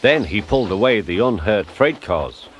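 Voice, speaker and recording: A man's voice: fairly low pitch, moderate pace, a little hiss or hum. A man reading a scripted children's story as narrator, expressively acting out the characters. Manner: expressive, acts out character voices.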